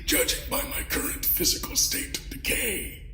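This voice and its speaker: A man's voice: normal speed, pushed and bright, reverberant, soft background music. A man voicing an ancient, decayed undead lord in an audio drama, grandiose, bitter and theatrical, with a pompous manner. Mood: bitter, scheming.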